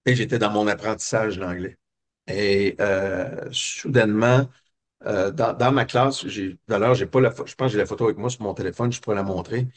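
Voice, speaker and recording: Homme québécois qui raconte sa vie. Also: male voice, on the low side, moderate pace. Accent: Québécois French. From Quebec. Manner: reflective, many hesitations and fillers. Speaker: guest. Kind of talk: personal storytelling interview.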